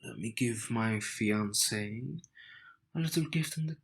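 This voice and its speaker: Slow-talking, teasing young groom, played by a man. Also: lowish voice, gravelly, slightly rough, heavily acted. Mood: teasing, reassuring. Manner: smug, teasing, unhurried delivery with dramatic pauses. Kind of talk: romantic audio roleplay addressed to the listener.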